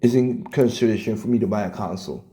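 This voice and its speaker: A man with a scratchy, hoarse voice and a dark, mellow tone rants casually, blunt and profane. He trips over his words and repeats himself as he goes. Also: lowish voice, fast. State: hoarse, coughing. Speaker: commentator reacting to clips. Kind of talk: casual rant.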